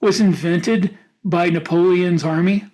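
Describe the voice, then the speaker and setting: A man co-hosting a podcast in casual conversation, often pausing mid-thought as he explains his ideas. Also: high voice, steady tone, darkish tone. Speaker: podcast co-host. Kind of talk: casual panel chat about writing fiction.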